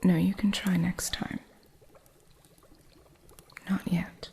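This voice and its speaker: Soft-spoken woman, low whispered delivery with a gravelly grain, unhurried, gently instructive with a playful strictness. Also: little pitch movement. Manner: gentle, teaching, teasingly strict with an apprentice. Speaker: ASMR artist. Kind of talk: whispered ASMR roleplay as a witch shopkeeper.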